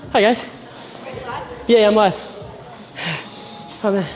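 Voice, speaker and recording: High-voiced young man streaming from a gym. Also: very slow. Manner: loose, joking, swears and apologizes. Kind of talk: casual live-stream chat. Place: boxing gym ring, indoors.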